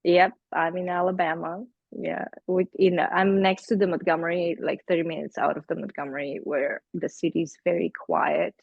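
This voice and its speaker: Gravelly Slovenian-accented woman, hesitant. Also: fairly low pitch, flat delivery, moderate pace, few pauses, no echo, lo-fi sound. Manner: hesitant, searching for words, many fillers. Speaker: artist presenting her work to a group. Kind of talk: casual group meeting talk. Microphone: video call.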